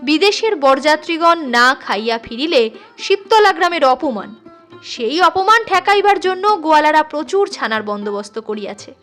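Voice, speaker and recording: A woman reading a literary story in an expressive, deliberate way, as a storyteller. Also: sits high. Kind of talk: scripted literary story narration. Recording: music under the voice. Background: instrumental music.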